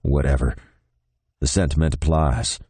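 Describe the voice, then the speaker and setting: A man voicing a smooth-talking, resigned man with a Texan drawl in an audiobook. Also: fairly even intonation, mellow, no room sound. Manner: slow, low, measured delivery. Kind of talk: audiobook character voice.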